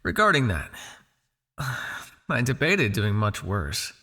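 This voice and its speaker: A man's voice: lowish voice, sing-song, slow pace, exaggerated voice. A man playing a smug, possessive vampire crime lord in an ASMR roleplay, with a taunting, silky, condescending delivery. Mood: condescending, teasing. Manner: taunting, silky, condescending with sighs and soft shushing.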